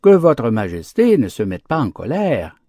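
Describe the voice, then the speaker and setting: A meek young lamb from a storybook fable, played by a man, pleading innocently and politely. The speech is slow, with a melody that rises and falls widely. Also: medium pitch, huge pitch swings, warm tone, no room sound, clean studio sound, exaggerated voice. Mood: deferential.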